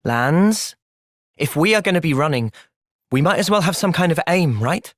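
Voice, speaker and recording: A man with a British accent playing a thoughtful, gentle young soldier in video game cutscenes, measured and earnest. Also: on the high side, expressive, no echo, clean studio sound, natural voice. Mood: calm, earnest.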